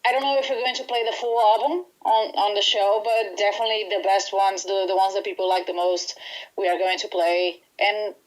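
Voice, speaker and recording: A woman's voice: medium pitch, steady tone, a little fast, rough recording. Chatty Brazilian woman in an interview. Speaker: band musician being interviewed. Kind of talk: casual interview chat.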